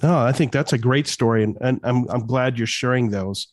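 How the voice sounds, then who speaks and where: A man's voice: mid-range voice, normal speed, dry recording, clean studio sound. A man hosting a podcast interview, casually affirming his guest. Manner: affirming, conversational. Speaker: podcast host.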